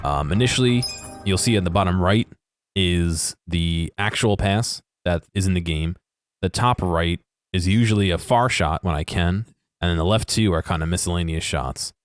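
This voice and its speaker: Low-voiced man doing casual game commentary. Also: no echo, clean studio sound. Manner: halting, explanatory, self-correcting. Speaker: video creator narrating game footage. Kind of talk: casual unscripted commentary over game footage.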